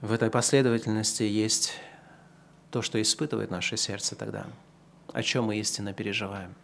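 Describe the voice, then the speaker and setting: Male pastor, 30s, sermon delivery: slow emphatic build-ups, then easy conversational asides. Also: lowish voice, steady tone, creaky, slight background noise. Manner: swings from slow, loud emphatic preaching to quieter conversational asides.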